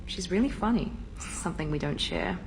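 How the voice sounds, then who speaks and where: A cool, controlled operative. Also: female voice, low-pitched, huge pitch swings, full character voice. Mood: reserved. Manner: measured, reserved, precise. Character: composed adult female operative, cool and controlled. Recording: game audio with ambient noise.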